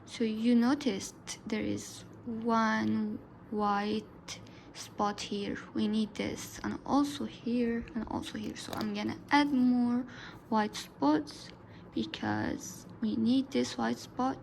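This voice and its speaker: A woman whose first language is Arabic talks through a drawing lesson in a soft, half-whispered voice, pitched a little high and slightly gravelly. She speaks slowly and unhurriedly, and the recording carries clear background noise and pencil scratching. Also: fairly even intonation, low-quality recording. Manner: soft, often whispered narration. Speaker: art tutor. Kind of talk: drawing tutorial voice-over.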